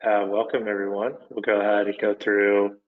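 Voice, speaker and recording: Low, flat-voiced Oklahoma man. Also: moderate pace, slight background noise. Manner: relaxed, conversational with frequent fillers. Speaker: product manager. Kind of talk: webinar presentation. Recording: dull lo-fi webinar audio.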